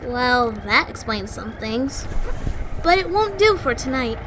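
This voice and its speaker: A woman's voice: a little high, big pitch swings, slow, heavy background noise. An actress playing a plucky, chatty young girl in an audio drama, breezy, wry and teasing. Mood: wry, coaxing.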